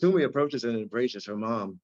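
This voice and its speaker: A man's voice: medium pitch, animated, normal speed, a little mellow, highs cut off. A man reading out screenplay stage directions as scripted narration.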